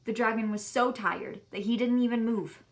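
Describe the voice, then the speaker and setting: A woman reading a children's story aloud in an expressive, emphatic way. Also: a little high, moderate pace.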